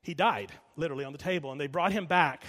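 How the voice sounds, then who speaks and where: A middle-aged man preaching a sermon, emphatic, slowing and repeating lines for weight and dropping quiet while telling a story. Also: fairly high pitch. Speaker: pastor. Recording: long reverb of a large hall.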